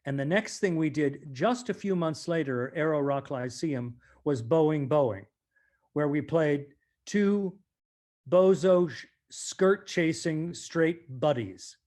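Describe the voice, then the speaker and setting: A man from the United States with a fairly high voice talks as an interview guest. He speaks in a reflective, deliberate way and puts weight on key words as he recalls his work as an actor. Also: darkish tone.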